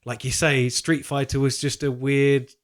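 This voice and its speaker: A man's voice: medium pitch, flat delivery, a little slow. A man co-hosting a podcast, discussing films casually and thinking aloud, often repeating a word as he goes. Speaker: podcast co-host.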